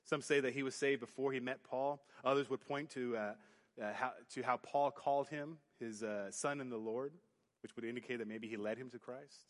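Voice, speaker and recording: A youth pastor preaching a sermon in church, booming then dropping to quiet emphasis. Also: male voice, fairly even intonation, moderate pace. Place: church sanctuary. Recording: long hall reverb. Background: hall reverb.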